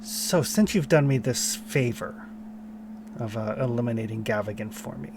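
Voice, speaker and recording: A man game master voicing a calm, scheming woman cult leader whose first language is Arabic, cool and courteous. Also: mid-range voice, moderate pace, noisy background, close to an everyday voice. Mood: cool, polite.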